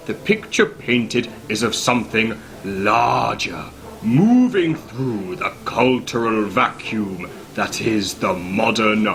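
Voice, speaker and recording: A man's voice: fairly low pitch, pushed and bright. A man giving a solemn dramatic reading of a manifesto, over choral music. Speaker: reader. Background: dramatic orchestral choral music.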